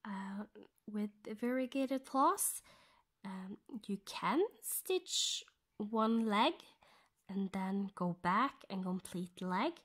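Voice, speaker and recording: Norwegian woman, unhurried stitch-along host, gentle near-whisper, thinks aloud between pauses. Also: mid-range voice, expressive. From Norway. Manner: soft-spoken, often half-whispered, thinking aloud with pauses. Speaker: cross-stitch vlogger. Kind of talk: casual stitch-along tutorial.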